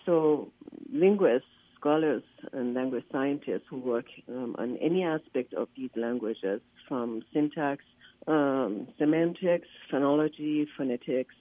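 A man whose first language is Persian, a linguist giving a radio interview by phone, often pausing to search for words. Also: mid-range voice, no echo. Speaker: linguist and conference organizer, interview guest. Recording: tinny, dry phone line.

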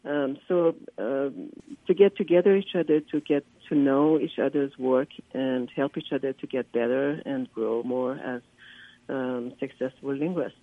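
Give me a man whose first language is Persian, speaking haltingly as a radio guest over a tinny phone line. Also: medium pitch, fairly even intonation, vocal fry, dry recording. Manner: halting, pauses often to search for words. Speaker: linguist and conference organizer, interview guest.

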